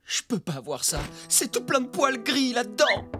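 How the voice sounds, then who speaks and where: An actor performs a grumpy fox from a French cartoon who feels past his prime. The delivery is theatrical and self-pitying, full of stubborn grumbling. Music plays clearly behind the voice. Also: mid-range voice, very animated, moderate pace, lightly acted. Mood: resigned, dramatic. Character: grumpy fox lamenting his old age, stubborn, melodramatic.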